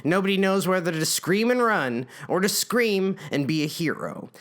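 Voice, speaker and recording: A man's voice: high voice, lively intonation, moderate pace, fairly bright tone. A man reading a scripted comic story in a theatrical way, with wry asides. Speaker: writer and narrator of a parody story.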